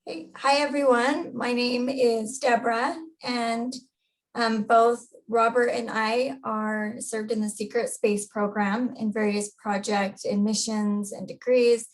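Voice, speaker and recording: Somewhat high-voiced woman host. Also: fairly even intonation, poor audio. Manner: gentle, halting questions with fillers. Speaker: hypnotherapist and interview host. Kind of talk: conversational interview.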